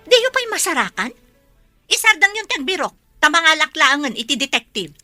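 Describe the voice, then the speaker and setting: A woman's voice: high voice, big pitch swings, normal speed, sharp tone, faint music behind, full character voice. An actress playing an imperious, irritable female boss in a radio drama, scolding haughtily with an Ilocano accent. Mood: angry, scolding.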